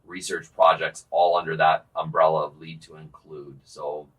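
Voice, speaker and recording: A man hosting a casual podcast discussion with research assistants, relaxed and joking, trailing off at the ends of phrases. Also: extremely low pitch, moderate pace, muffled recording.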